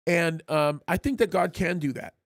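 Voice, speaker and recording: High-pitched guy pastor-host, emphatic, stumbles on repeats, dry close mic. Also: normal speed, no room sound. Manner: emphatic, repeats words when starting a point. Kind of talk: live political talk show discussion.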